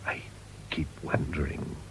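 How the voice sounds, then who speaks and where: A man's voice: unhurried, slight background noise, natural voice, low-quality recording. A British man acting a wry, irritable wealthy middle-aged husband in a radio drama, with a dry, sardonic manner. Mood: subdued.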